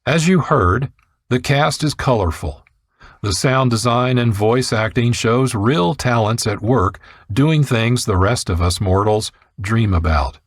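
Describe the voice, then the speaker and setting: A man's voice: medium pitch, expressive, unhurried, no echo, studio quality. A man reading scripted narration as a radio producer and host.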